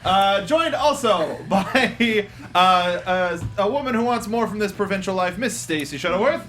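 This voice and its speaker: A man hosting a casual movie review panel, talking in a halting, jokey way. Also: sits high, animated, fairly bright tone, soft background music. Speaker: host.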